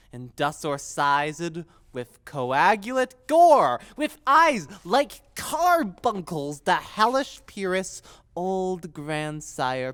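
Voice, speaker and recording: A woman's voice: sits low, a bit bright, clear, resonant tone, exaggerated voice. A young woman playing a witty, mocking young prince feigning madness in a theatrical, sardonic Shakespearean audio drama style. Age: young adult.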